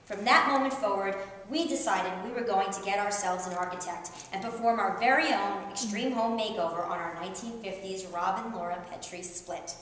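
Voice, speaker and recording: Middle-aged female author for a live humorous reading: wry, animated emphasis, long room reverb, faint noise behind. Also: medium pitch, normal speed, hardly any pauses. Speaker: author reading at a book tour event. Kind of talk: reading a humorous personal essay aloud to an audience. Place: reverberant indoor event room. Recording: very echoey room, distant, faint background noise.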